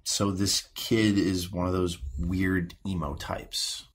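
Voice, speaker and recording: An actor playing a guarded, easily frustrated sixteen-year-old boy in an audio drama, speaking in a halting, hesitant way. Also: deep voice, little pitch movement, slightly rough, exaggerated voice. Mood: awkward, frustrated. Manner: halting, mumbled, hesitant teenage speech. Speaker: actor playing a therapy patient. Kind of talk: naturalistic audio drama dialogue.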